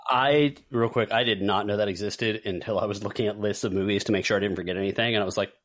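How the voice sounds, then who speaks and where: Low voiced guy co-hosting a podcast, casual rambly chat with little asides. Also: fairly even intonation, fast talker, fairly bright tone. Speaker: podcast co-host. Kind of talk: casual chat, film ranking.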